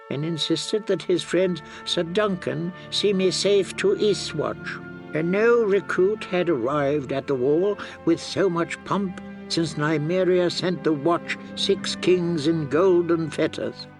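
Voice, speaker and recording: Frail ancient male scholar, high voice, very unhurried, wistful audiobook reminiscence. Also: soft background music. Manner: slow, reminiscing. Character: very old frail maester, wistfully recalling his youth. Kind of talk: audiobook character acting.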